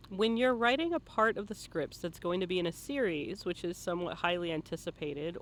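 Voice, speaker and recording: Man, high voice, playful, casual interviewer, laughs readily. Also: a bit bright, slight background noise. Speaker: interviewer, stream host. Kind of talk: casual live interview chat.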